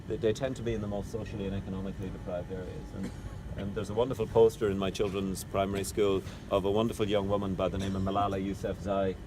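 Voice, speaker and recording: A middle-aged man with a Northern Irish accent leading a walking tour of his city, a chatty storyteller who jokes with his group. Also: fairly low pitch. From Northern Ireland. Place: outdoors on city streets. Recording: street noise outdoors.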